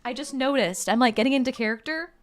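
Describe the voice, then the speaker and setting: A woman's voice: high voice, quick pace. Bubbly young woman streamer. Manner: bubbly, chatty, reads game text aloud. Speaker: gaming streamer. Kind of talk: casual let's-play commentary.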